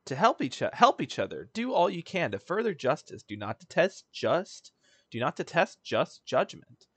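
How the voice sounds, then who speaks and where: A man's voice: a little high, sing-song, moderate pace, fairly bright tone, husky, no room sound. A man giving casual, slangy commentary on a video game and reading its text aloud with joking asides. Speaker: game streamer. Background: faint game music.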